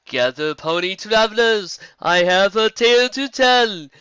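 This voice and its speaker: A man voicing a mysterious female zebra bard who sings her warnings in slow, drawn-out rhyme, on a dull lo-fi recording. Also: sits high, lively intonation, sharp tone, smooth, no echo, pitched above the performer's own voice, heavily acted. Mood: ominous singing. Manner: sung, rhyming and slow.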